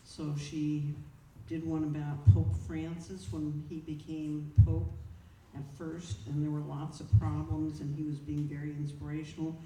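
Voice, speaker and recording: A woman's voice: deep voice, flat delivery, unhurried, few pauses. A woman presenting at a club meeting, reading a prepared speech aloud with informal asides. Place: indoor meeting room, speaking to a group. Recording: roomy, distant mic, clear background noise.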